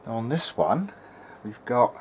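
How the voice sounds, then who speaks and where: A man's voice: mid-range voice, sing-song, slow pace. A man thinking aloud hesitantly as he hosts a casual hands-on electronics demonstration at a workbench. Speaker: host, hobbyist electronics repairer. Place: indoor workbench. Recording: clear background noise, workshop room.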